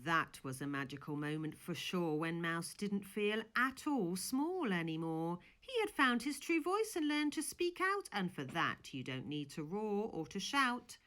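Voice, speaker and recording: Slow, animated woman reading a children's story. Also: lowish voice. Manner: dramatic, drawn-out emphasis. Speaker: primary school teacher reading a story.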